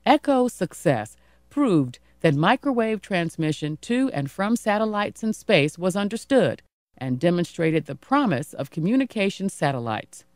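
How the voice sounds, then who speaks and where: A woman's voice: lowish voice, sing-song, a little slow, darkish tone. A woman reading scripted informational narration.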